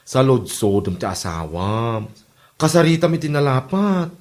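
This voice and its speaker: A man's voice: medium pitch, unhurried. A man playing a blunt, scoffing confidant in an Ilocano comedy drama, exclaiming as he advises, with an Ilocano accent. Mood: advising. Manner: animated, exclaiming.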